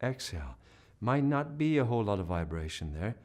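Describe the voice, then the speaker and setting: A man's voice: on the low side, big pitch swings, brisk, darkish tone. A man giving an online singing lesson, explaining patiently and checking in with "right?". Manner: demonstrates hoarse and humming sounds mid-explanation. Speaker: singing teacher. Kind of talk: instructional video lesson.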